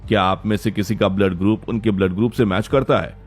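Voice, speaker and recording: A man's voice: fairly low pitch, steady tone, a little fast, natural voice. A man voicing a serious hospital doctor with an urgent, formal manner in a cartoon drama. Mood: grave, urgent. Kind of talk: melodramatic cartoon story acting.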